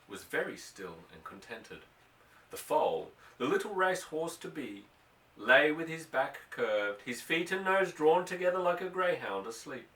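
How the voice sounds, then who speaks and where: A man's voice: medium pitch, pushed and bright. A man reading a novel aloud as scripted narration, with dramatic, emphatic phrasing, recorded in a roomy space away from the microphone. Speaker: audiobook reader. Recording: roomy echo, distant microphone.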